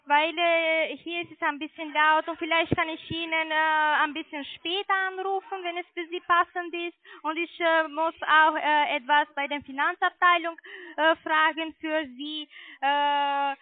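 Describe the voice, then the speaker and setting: High-voiced woman on a tinny phone line. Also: level tone, no echo. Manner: halting, apologetic. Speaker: call center agent. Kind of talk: halting sales phone call.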